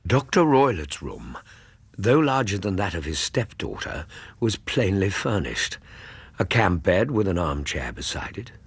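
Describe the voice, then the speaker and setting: An actor playing a loyal, steady Victorian gentleman with an English accent and a measured, earnest manner, narrating and acting in a radio drama. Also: low voice, husky, no echo, full character voice.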